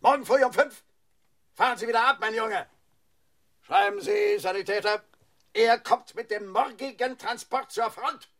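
A man's voice: very high-pitched, animated, normal speed, creaky, long pauses, fairly bright tone, full character voice. A man playing a brusque, sneering military doctor who gives orders, in a radio play. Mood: barking orders. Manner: sneering, commanding. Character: brusque, mocking military army doctor in a field hospital. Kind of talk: German radio play acting.

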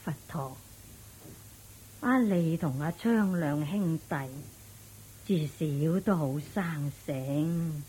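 A practical widowed mother who frets and scolds in a Buddhist radio drama. Her Hong Kong Cantonese is slow and dark, with a husky edge. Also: low-pitched, full character voice, poor audio. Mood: fretful, worried. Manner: sighing, fretful, scolding at interruptions. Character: anxious, practical widowed mother of two grown sons.

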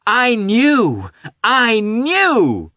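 A man voicing a small, affectionate, excitable boy in an audiobook, with eager, childlike exclamations. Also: fairly high pitch, a little slow, no room sound, clean studio sound, voice pushed up, heavily acted. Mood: excited, loving. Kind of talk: audiobook character voice.

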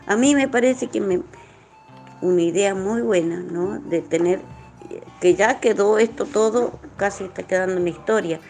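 A woman's voice: medium pitch, moderate pace, darkish tone, soft background music. A woman talking informally and reflectively, in an earnest, rambling way. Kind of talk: informal reflective interview talk.

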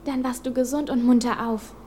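High-voiced, earnest teenage girl played by a woman. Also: fairly quick, a little hiss or hum, close to an everyday voice. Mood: wistful. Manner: youthful, earnest, expressive. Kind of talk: German radio play acting.

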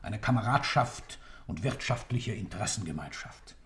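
An actor in a German radio play, playing an impassioned defendant who declaims a dark creed in fervent, rhetorical speech. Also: male voice, fairly low pitch, animated, normal speed. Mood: insistent, reasoning.

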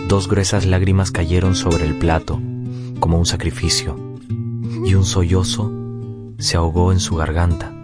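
An actor plays a nostalgic storyteller recalling his childhood in a radio drama. His low voice is measured and poetic, over guitar music. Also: flat delivery, lightly acted. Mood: sorrowful. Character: nostalgic grown son recounting his childhood. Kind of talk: literary radio drama narration.

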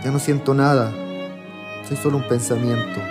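A man plays a suffering captive in a radio drama, with a Chilean accent. His medium-pitched voice sits over prominent string music. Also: fairly even intonation, moderate pace, close to an everyday voice. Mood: anguished. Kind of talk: amateur radio drama acting.

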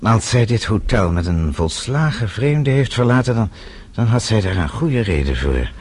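An actor playing a composed older gentleman in a radio play, formal, measured and quietly authoritative. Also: fairly low pitch, sing-song, breathy edge. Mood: calm, assured. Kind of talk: radio drama acting.

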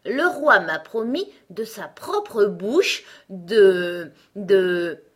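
A woman's voice: mid-range voice, animated, extremely slow. A woman voicing a pompous, irritable male talking egg in a storybook, with a haughty, emphatic delivery. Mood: boastful, self-important. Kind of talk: storybook character acting.

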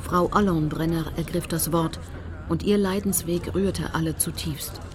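A woman's voice: fairly low pitch, steady tone, a little slow, noisy background, natural voice. An actress playing a determined female activist, recounting her campaign in composed, precise first-person narration for a radio play. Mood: composed narrating. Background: music.

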